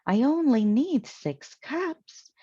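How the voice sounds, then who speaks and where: A woman's voice: high-pitched, very animated, slow, warm tone, husky, no room sound, highs cut off, pitched above the performer's own voice, exaggerated voice. A woman voicing a busy lady of the house who gives instructions and exclaims, in a storybook reading. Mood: exasperated, explaining. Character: busy, animated lady of the house, bossy but good-humoured.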